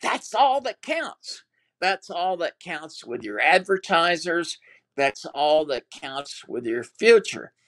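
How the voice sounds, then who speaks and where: Older Texan man, unhurried, halting speech, interview guest. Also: high-pitched. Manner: halting, many restarts and fillers. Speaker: former TV producer, guest. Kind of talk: reflective interview talk.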